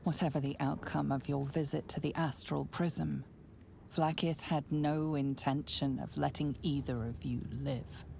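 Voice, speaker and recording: An actress playing a grave, knowing narrator in a fantasy game, telling the tale in a deliberate, ominous way. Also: female voice, sits low, steady tone, mellow. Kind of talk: second-person game narration. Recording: clear background ambient noise.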